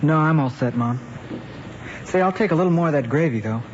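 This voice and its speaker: A man's voice: fairly high pitch, animated, a little fast, close to an everyday voice. An actor playing a level-headed American teenage boy who talks in a casual, guarded way, in a family drama. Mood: polite, evasive. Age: young adult. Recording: muffled old recording, faint noise.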